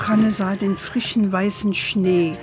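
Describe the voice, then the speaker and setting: Slow-spoken old grandmother storyteller, played by an actress. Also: mid-range voice, fairly even intonation, over music, lightly acted. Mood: measured narrating. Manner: unhurried, measured storytelling. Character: spirited old grandmother, fond storyteller of family history. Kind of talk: children's radio drama storytelling.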